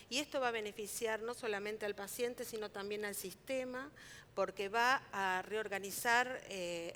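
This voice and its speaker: A woman, speaking as a city health official on a panel. Her delivery is measured and official, with many pauses. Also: medium pitch, a bit bright, a little hiss or hum. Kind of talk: panel talk at a forum.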